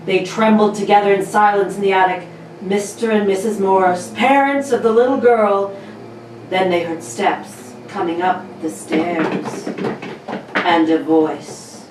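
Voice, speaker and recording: A woman's voice: medium pitch, fairly even intonation, measured pace, lightly acted. A woman acting as the ominous storyteller of a suspense radio play, reading dramatic scripted narration. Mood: tense. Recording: roomy, distant mic with faint noise.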